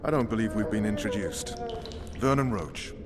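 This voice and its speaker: An actor playing a stern, cold special forces commander in a video game, with an authoritative, formal, clipped delivery. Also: male voice, fairly low pitch, animated, normal speed. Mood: cold, controlled. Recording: echoey stone hall, background noise.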